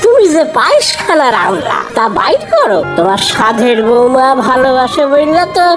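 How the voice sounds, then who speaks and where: A woman's voice: sits high, big pitch swings, normal speed. A woman voicing an impatient young husband who speaks in an East Bengal dialect and argues with his mother, over background music. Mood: exasperated. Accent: East Bengal (Bangal) dialect. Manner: exasperated, argumentative.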